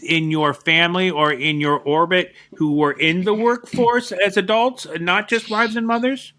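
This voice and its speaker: A man co-hosting a casual podcast, with jokey, quick asides. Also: high voice, fairly even intonation, bright, forceful tone, a little hiss or hum. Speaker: podcast co-host. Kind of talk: casual chat.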